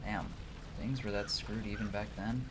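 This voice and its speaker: Low-voiced man streaming a game with background noise. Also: fairly even intonation, normal speed. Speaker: livestream gamer. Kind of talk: gaming commentary mixed with reading game text aloud.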